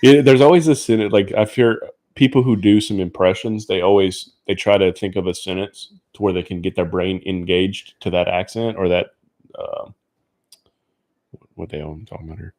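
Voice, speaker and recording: Low-voiced laid-back Louisiana man. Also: steady tone, normal speed, a little mellow. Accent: light Louisiana Cajun. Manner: laid-back, rambling, searches for words. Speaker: podcast co-host. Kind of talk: casual chat.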